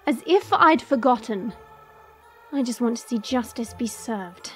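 A woman's voice: a little high, lively intonation, unhurried. A woman playing a young dragon princess who is superior toward humans, in an audio drama. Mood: serious. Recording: music playing behind. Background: background music.

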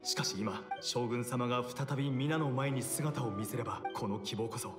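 A man's voice: mid-range voice, moderate pace, lightly acted. A man voicing a devoted samurai soldier in a video game, reverent and formal, with an echoing sound. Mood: reverent, earnest. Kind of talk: Japanese anime-style game voice acting. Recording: echoing, long reverb over music.

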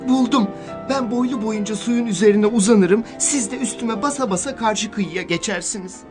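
A man voicing an excitable little talking straw or coal in a children's fairy tale, exclaiming dramatically over music. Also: sits high, normal speed, voice pushed up, lightly acted. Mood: eager. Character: excitable little straw stalk and hot coal, storybook friends.

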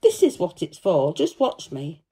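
A woman voicing a cheerful, warm-hearted young Yorkshire housemaid in a storybook, chatty and blunt in dialect. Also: fairly low pitch, wildly swooping pitch, a little slow, husky, exaggerated voice. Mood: amazed, eager.

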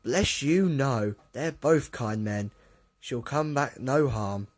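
Man as a husky, jolly, scheming railway fireman. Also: high-pitched, sing-song, slow, darkish tone, pitched above the performer's own voice, exaggerated voice. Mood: reassuring. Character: jolly, joke-loving railway fireman, kindly and conspiratorial.

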